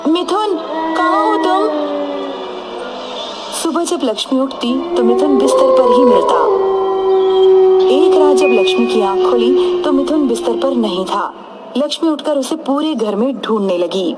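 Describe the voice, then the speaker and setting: Female storyteller, very high pitch, scripted horror narration, loud background music. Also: animated, normal speed. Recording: music behind the voice.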